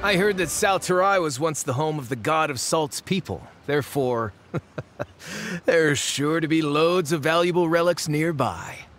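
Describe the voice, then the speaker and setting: A man's voice: on the high side, a little slow. A man voicing a greedy, flippant treasure seeker in a video game, with a theatrical, self-satisfied manner. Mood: eager, greedy. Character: greedy, flippant male treasure-seeking dandy, easily disappointed. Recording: loud game music behind.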